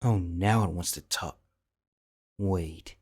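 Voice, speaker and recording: Man playing a deep-voiced wry adventurer. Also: slow pace, darkish tone, slightly rough, heavily acted. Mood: baffled. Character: wry, diplomatic armed adventurer facing a dragon. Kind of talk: fan fiction audio drama acting.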